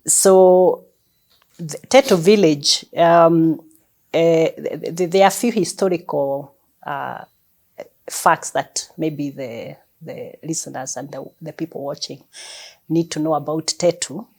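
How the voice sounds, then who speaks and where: Kenyan woman, 50s, deep-toned guest, gracious storyteller. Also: slow pace, darkish tone. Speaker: guest, bank executive. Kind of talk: relaxed personal storytelling. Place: her home.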